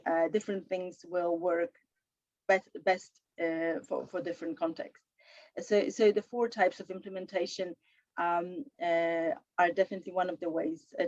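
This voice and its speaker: A woman's voice: lowish voice, darkish tone, no room sound, highs cut off. A woman author with a slight sore throat, answering an audience question at a live online panel in a thoughtful way, with many fillers and restarts.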